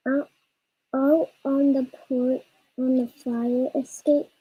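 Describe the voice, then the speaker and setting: A young girl reads a storybook aloud at a slow, halting pace. Her voice is high and very soft-grained and husky, with a mellow tone, and she often repeats words. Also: little pitch movement, rough recording. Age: child. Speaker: child co-reader of a storytime.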